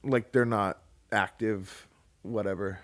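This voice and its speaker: A man's voice: lowish voice, steady tone, takes it slowly, fairly bright tone. A man co-hosting a casual podcast, rambling with many fillers and restarts. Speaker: podcast co-host. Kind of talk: casual chat.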